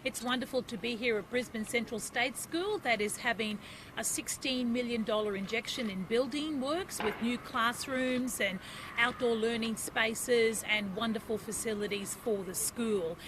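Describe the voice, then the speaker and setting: A woman's voice: on the high side, normal speed. Australian woman minister, outdoor public remarks. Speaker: government minister. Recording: outdoor audio with traffic noise.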